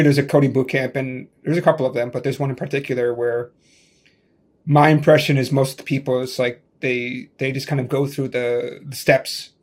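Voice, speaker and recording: A man hosting a casual tech interview over a video call. He talks loosely and chattily, with lots of "like" and many restarts. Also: medium pitch. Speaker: podcast host.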